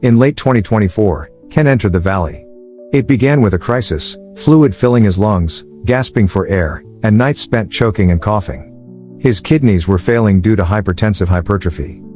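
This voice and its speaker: Deep-voiced male documentary narrator. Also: normal speed, a little mellow. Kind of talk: scripted narration. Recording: music bed under the voice.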